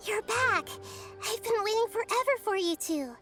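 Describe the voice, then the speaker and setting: A woman voicing a small, childlike goddess in a video game, a playful and gentle teacher with a measured, teasing manner. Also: very high-pitched, animated, over music.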